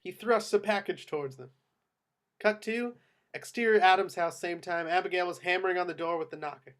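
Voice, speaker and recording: High-pitched male stage-direction narrator. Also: brisk, a bit bright. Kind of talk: scripted narration for a table read.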